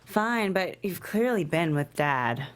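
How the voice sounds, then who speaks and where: A woman's voice: fairly low pitch, very animated, slow pace. A woman reading the part of a blunt, teasing young adult daughter in a script table read, frank and unembarrassed.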